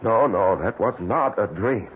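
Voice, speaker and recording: An actor playing a guilt-ridden widower who has killed, melodramatic and stammering, in an old radio mystery drama. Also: male voice, fairly low pitch, unhurried, slightly rough. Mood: agitated. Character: tormented, guilt-ridden widower murderer, desperate and brooding. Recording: tinny, noisy old radio recording.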